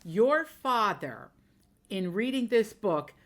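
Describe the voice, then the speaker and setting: A woman's voice: medium pitch, very animated, takes it slowly. A woman hosting an interview, effusive and enthusiastic toward her guest. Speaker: host. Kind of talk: interview conversation.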